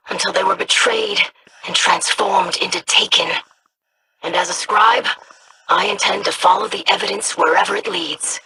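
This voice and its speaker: A performer voicing an earnest, studious scribe in a video game, speaking in a measured, scholarly manner. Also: medium pitch, creaky, background noise. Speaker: scribe investigating monsters.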